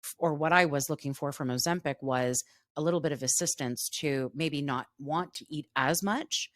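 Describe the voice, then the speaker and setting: A woman's voice: low-pitched, moderate pace, fairly bright tone, dry recording, studio quality. A woman co-hosting a casual podcast chat, talking openly with lots of fillers and restarts. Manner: chatty, frequent fillers and restarts. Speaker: podcast co-host.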